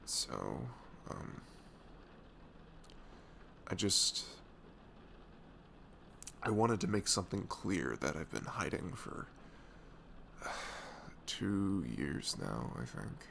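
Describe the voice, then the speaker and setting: Man acting a deep, slow-spoken, lovestruck best friend. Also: sing-song, creaky, a little hiss or hum, full character voice. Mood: hesitant, pained. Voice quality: soft, velvety. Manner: hesitant, halting, earnest. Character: devoted young male best friend, goofy, nervously lovestruck. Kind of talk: ASMR audio roleplay.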